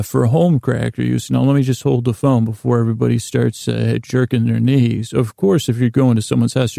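A man hosting a podcast with a rambling, meandering monologue, stammering and circling back in halting fragments, in a creaky voice. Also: mid-range voice, warm tone, no echo, studio quality. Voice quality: gravelly, creaky. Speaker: podcast host.

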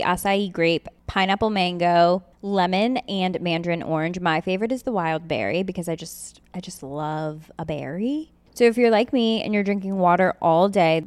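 A woman's voice: on the low side, clear, resonant tone, no room sound. A young woman chatting through an ad read, bubbly and casual. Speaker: podcast host reading an ad.

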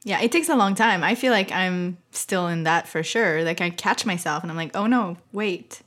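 A woman hosting a casual, reflective interview, speaking in a measured, step-by-step way. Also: lowish voice, a bit bright. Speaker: interviewer, host.

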